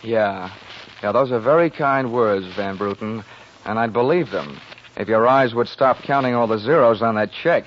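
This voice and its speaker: An actor playing a cocky, wisecracking hardboiled investigator with dry sardonic delivery in 1940s radio drama style. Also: male voice, medium pitch, normal speed, natural voice. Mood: dry, flippant. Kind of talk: 1940s radio acting with first-person narration. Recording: dull 1940s radio recording with music.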